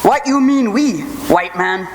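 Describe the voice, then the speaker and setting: A woman's voice: fairly high pitch, expressive, measured pace. A woman voicing a blunt male Western sidekick in a joke, using terse broken English. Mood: blunt, deadpan. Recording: echoey hall, clear noise, live.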